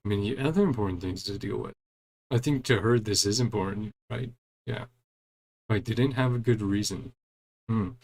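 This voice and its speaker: A man's voice: on the low side, moderate pace, long pauses, a little mellow. A man giving casual commentary on a game as he plays, musing aloud and reading bits of dialogue. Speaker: gaming streamer.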